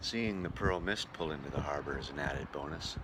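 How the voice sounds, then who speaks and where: A man's voice: very deep voice, a little fast, heavy background noise. A man who entertains as a touring singer and show host, chatting casually in a folksy way as in a travel vlog or between songs.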